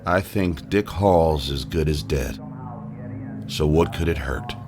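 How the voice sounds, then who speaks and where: A world-weary cop with a deep, low voice. He is blunt and dry, in the naturalistic style of a radio drama. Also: slow pace, a crowd in the background, exaggerated voice. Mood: dry, grim. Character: blunt, world-weary male police officer. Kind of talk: naturalistic audio drama acting.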